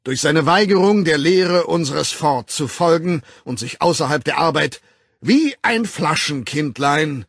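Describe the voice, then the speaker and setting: A haughty male boss declaiming in a raised, slow, booming voice, as a narrator puts him on. The gravelly, husky tone stays close and dry. Every phrase sounds like a public condemnation. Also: expressive, no echo, heavily acted. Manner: pompous, declamatory, slow and loud. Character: pompous authoritarian male director denouncing a subordinate. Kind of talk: audiobook character voice.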